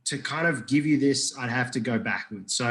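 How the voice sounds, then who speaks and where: A man's voice: medium pitch, normal speed, fairly bright tone. A man from Iraq, a guest telling his story in a casual interview, rambling and joking with many restarts.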